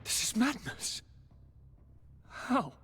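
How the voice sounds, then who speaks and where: A man's voice: drawn-out words, sharp tone. An actor playing a young nobleman governor in a fantasy game, dramatic and formal, with florid phrasing. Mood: indignant, alarmed.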